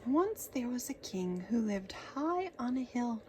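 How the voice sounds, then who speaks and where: A woman narrates a children's story at a very unhurried pace. Her reading is expressive and dramatic, with a medium-pitched voice. There is a little noise in the background.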